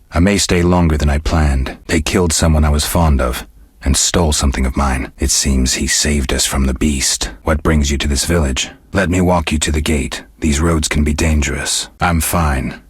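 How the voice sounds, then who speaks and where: A man playing a stoic, world-weary middle-aged monster hunter in a video game, speaking in a gravelly, husky voice with dry, laconic restraint. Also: very deep voice, fairly even intonation, normal speed, no room sound, exaggerated voice. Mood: measured, guarded. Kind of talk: scripted video game character dialogue.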